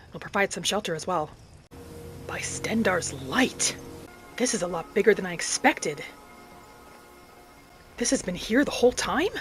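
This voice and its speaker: A woman giving in-character roleplay commentary over a game, musing aloud in a dramatic manner. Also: fairly low pitch. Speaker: gaming roleplay narrator. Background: faint music.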